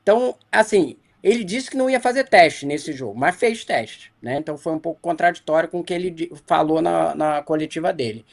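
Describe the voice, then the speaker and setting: A Brazilian male sports journalist giving a critical player-by-player analysis in a studio debate, often ending points with a quick tag question. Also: fairly high pitch, animated, creaky. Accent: Brazilian Portuguese.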